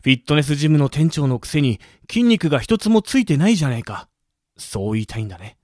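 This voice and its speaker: A man's voice: a little high, very animated, fast, darkish tone, no echo, studio quality. Man acting a swooping, pompous skeleton gym manager. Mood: stern, brooding. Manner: lecturing, self-deprecating, apologetic. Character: skeleton gym manager, pompous yet meek when scolded. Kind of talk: Japanese audio drama acting.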